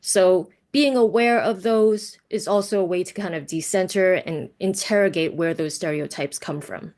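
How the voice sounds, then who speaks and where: A woman's voice: lowish voice, moderate pace, darkish tone. A woman whose first language is Cantonese, from the United States, leading an online teaching workshop over a video call in a conversational way, often checking in with tag questions. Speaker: workshop presenter.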